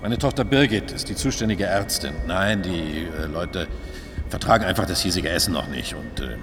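A man's voice: deep voice, normal speed, long reverb tail, music in the background, close to an everyday voice. A man playing a self-satisfied, defensive older volunteer organiser with a patronising manner in a radio crime drama. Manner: officious, patronising.

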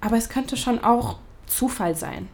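A young actress playing a pragmatic, sceptical best friend in a naturalistic audio drama, speaking casually. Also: female voice, on the high side, lively intonation, unhurried, a little mellow, heavily acted. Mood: gently sceptical. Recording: clear background ambience noise.

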